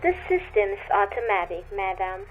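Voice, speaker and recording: A woman's voice: mid-range voice, sing-song, normal speed, faint music behind, voice pushed down, close to an everyday voice. A woman voicing a polite but unhelpful telephone operator in a radio play, formal and detached. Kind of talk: old radio drama acting. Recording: tinny, narrow phone-like sound.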